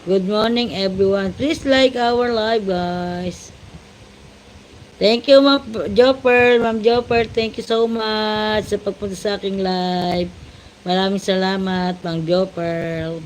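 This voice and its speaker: Filipino woman hosting a slow live stream. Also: medium pitch, a little hiss or hum, poor audio. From Philippines. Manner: greets viewers with repeated shout-outs, mixing Tagalog and English. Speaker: livestream host. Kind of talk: casual livestream chat.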